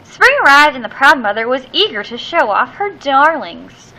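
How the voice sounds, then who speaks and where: A woman reading a children's picture book aloud expressively, with theatrical, drawn-out emphasis on key words. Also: sits high, sing-song, pushed and bright, slight background noise, poor audio.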